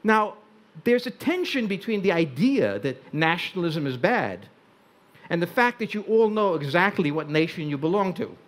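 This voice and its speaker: An older Hungarian-born man giving a wry, deliberate talk on a stage in a large tent before an audience. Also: sits high, sing-song. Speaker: speaker.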